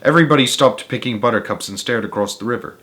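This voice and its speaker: A man's voice: mid-range voice, fast talker, fairly bright tone, slight background noise. Dramatic male children's storyteller. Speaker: storyteller, host of a read-aloud series. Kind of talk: children's audiobook-style narration.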